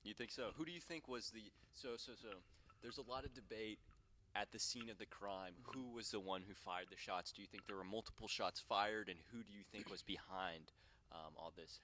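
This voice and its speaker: A teenage boy hosting a casual school podcast chat, laughing often, with a deep voice. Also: brisk, hardly any pauses, sharp tone, slight background noise. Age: teens. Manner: giggly, frequent bursts of laughter. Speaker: student podcast host.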